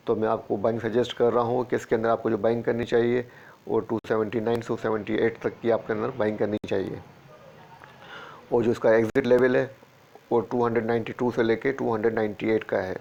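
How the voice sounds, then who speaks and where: A man's voice: medium pitch, flat delivery, a little fast, gravelly, darkish tone, muffled recording. A man with a sore throat, steadily walking through stock charts and repeating price levels as a market analyst. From Pakistan. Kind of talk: screen-share chart walkthrough.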